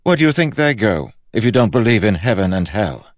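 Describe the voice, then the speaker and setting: A man's voice: lowish voice, wildly swooping pitch, slow, dry recording, clean studio sound, voice pushed up, exaggerated voice. A man voicing a pompous, pious king in an audiobook, questioning in a scornful, imperious way. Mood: disturbed, pressing. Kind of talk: audiobook character acting.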